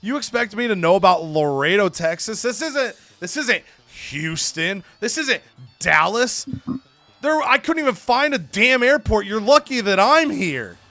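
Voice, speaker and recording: Cocky heel wrestler, acted by a male storyteller, scornful irritated ranting, raised forceful voice, music bed. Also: high-pitched, sing-song, measured pace, no room sound, voice pushed up, heavily acted.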